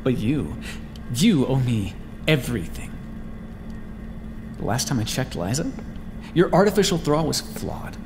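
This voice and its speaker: A man's voice: medium pitch, sing-song, a little slow, pauses often. A man voicing a sardonic, protective vampire scientist in an ASMR roleplay, with dramatic, formal speech that often drops toward a whisper. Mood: indignant, defiant. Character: desperate, sardonic male vampire scientist, protective of his captive. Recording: hall-like reverb with clear background noise.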